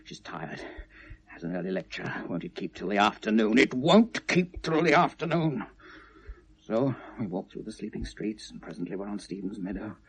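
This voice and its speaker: Tormented British man confessing, wildly swinging pitch. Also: very animated. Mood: haunted, hushed. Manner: halting, bitter confession, swinging from hushed to anguished. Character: tormented, obsessive lovelorn man confessing to police. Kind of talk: 1970s British radio drama monologue. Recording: noisy vintage radio play recording.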